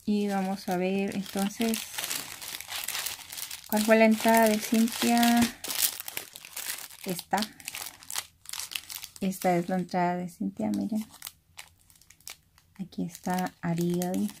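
A woman's voice: medium pitch, little pitch movement, takes it slowly, gravelly, pauses often. A young woman with a Mexican accent chatting casually as she shows craft items, keeping her voice down. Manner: soft-spoken, keeping voice down because others are sleeping. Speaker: craft vlogger. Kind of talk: casual chat, narrating an unboxing. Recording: noisy room with handling rustle and crinkling. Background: clicks, crumpling and crinkling of packaging.

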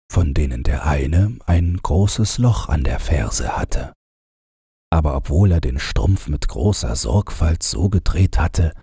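A man narrating fairy tales as a scripted audiobook, in a measured, expressive storytelling manner. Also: very deep voice, breathy edge, no echo, clean studio sound.

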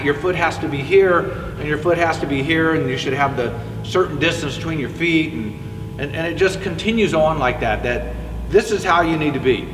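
A man's voice: fairly high pitch, noisy background. A middle-aged man teaching baseball hitting to the camera in a casual, conversational way, moving about and showing each point with a bat. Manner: conversational, demonstrating with a bat while he talks. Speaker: hitting instructor. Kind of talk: spoken coaching lesson to camera. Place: indoor batting cage with netting. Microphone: far camera. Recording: echoey indoor facility, distant mic.

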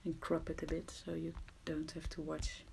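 Dutch-accented woman, gravelly low voice, casual hesitant how-to narration over a phone mic with faint background hiss. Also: completely flat delivery, normal speed, darkish tone. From Netherlands. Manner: hesitant, self-deprecating, drops to murmurs. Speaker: crafting tutorial maker. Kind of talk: casual screen-recorded tutorial. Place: at someone else's home.

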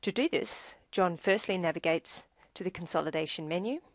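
Low-pitched woman narrating a software tutorial, dry sound. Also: normal speed, no echo. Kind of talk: scripted instructional narration.

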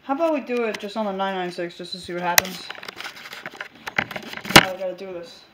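A woman talks casually through a home hobby test, offhand and partly mumbling to herself. Her voice sits at an average pitch. It is picked up by a handheld camera mic with clear noise behind it. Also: brisk, sharp tone, low-quality recording. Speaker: video maker. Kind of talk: casual hobbyist demonstration vlog. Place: small room at home, indoors.

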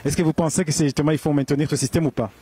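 Male street interviewer, brisk quizzing, husky and quick, handheld mic outdoors. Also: a little high, steady tone, mellow. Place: outdoors on a city square.

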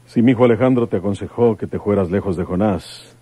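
A low-voiced stern Mexican rural detective. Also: male voice, fast, dark tone, slightly rough, no room sound. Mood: grave, probing. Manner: rustic country speech, insistent questioning. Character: stern rural detective, a father, probing and resolute. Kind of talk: old-time radio drama acting.

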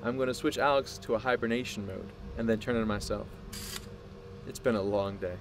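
An actor playing a proud, obsessive male scientist in an audio drama, speaking in a deliberate, grandiose way. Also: fairly low pitch, steady tone, noisy background, close to an everyday voice. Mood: measured, earnest. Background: music.

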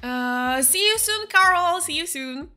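A woman's voice: very high-pitched, animated, slow, sharp tone, faint music behind. A young woman from Slovakia with a Slovak accent, chatting casually with her stream while she plays a game. She reads viewer messages aloud and answers them. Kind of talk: casual chat while gaming.

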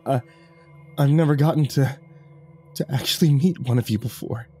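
A man voicing a weary, remorseful, devout older killer in a story, with a raspy, murmuring, halting delivery. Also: on the low side, steady tone, mellow, heavily acted. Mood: awed. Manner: slow, exhausted, halting. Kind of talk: dramatic story character voice. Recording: background music under the voice.

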